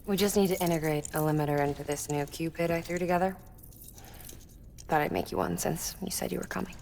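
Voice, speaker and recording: An actress playing a calm, matter-of-fact female engineer in a video game, explaining things conversationally. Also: on the low side, brisk, vocal fry, slight background noise. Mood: matter-of-fact, concerned. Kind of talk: video game cutscene dialogue.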